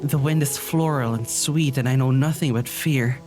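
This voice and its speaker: An actor playing an anxious, poetic being in an audio drama, speaking in halting, earnest stammers. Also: medium pitch, close to an everyday voice. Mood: dreamy, awed. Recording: music underneath.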